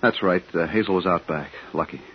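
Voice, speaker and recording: An actor playing a guarded, scared suburban husband and veteran, terse and evasive, in 1950s radio drama style. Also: male voice, low voice, fairly quick, natural voice. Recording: dull, slightly noisy 1950s radio recording.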